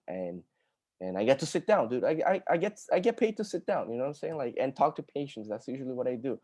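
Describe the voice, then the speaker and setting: A man's voice: fairly low pitch, a little mellow. A man from Brazil, an occupational therapist, chatting casually on a video call to answer student questions, with lots of "dude" and "like".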